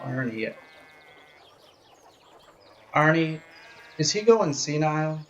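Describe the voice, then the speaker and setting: A man's voice: a little high, lively intonation, slow, a bit bright. An actor playing an earnest, hesitant young country man in a period radio drama, recorded with slightly dull live sound. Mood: concerned, hesitant.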